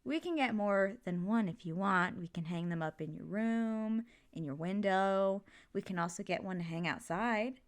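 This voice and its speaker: A woman's voice: mid-range voice, normal speed, hardly any pauses, close to an everyday voice. A woman playing a supportive, playful mother in an ASMR comfort roleplay, talking casually and reassuringly to her kid. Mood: warm, encouraging. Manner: casual, reassuring, jokey, gently expressive. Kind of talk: ASMR comfort roleplay, one side of a conversation.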